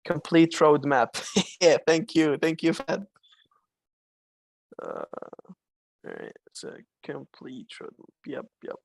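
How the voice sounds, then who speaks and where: A man runs a live online trading lesson in a loose, coaching way, answering chat questions as they come. His voice sits fairly high with a gravelly edge. The sound is close and dry. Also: slow pace, pauses often, no room sound. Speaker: trading instructor.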